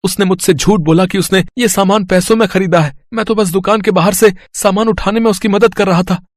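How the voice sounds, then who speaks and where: A man's voice: high-pitched, steady tone, brisk, dry recording, clean studio sound, lightly acted. An actor playing a poor, honest, simple young man who pleads earnestly, in a cartoon moral story. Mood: desperate pleading. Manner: earnest, pleading, talks aloud to himself.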